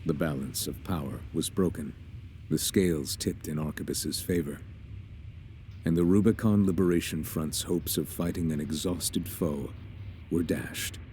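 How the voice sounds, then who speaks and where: A man narrating as a grave war chronicler in a video game, formal, measured and solemn. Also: a bass voice, warm tone, slight background noise.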